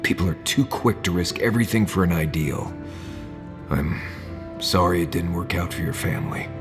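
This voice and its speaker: Game companion: actor as low-pitched male hunter, hesitant, plainspoken, roomy sound with music. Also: moderate pace, natural voice. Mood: sympathetic. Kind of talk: video game voice acting. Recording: roomy echo, music behind.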